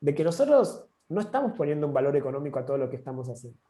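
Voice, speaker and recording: A man's voice: on the high side, sing-song, very fast, dark tone. A man with an Argentine accent giving an online training session, talking informally with frequent restarts. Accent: Argentine Spanish. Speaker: course instructor.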